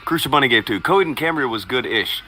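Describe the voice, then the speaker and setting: A man's voice: mid-range voice, animated, fast talker, pushed and bright, faint music behind. A man co-hosting a live stream, chatting casually, reading viewer comments aloud and telling crude, profane stories with a teasing edge. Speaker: stream co-host.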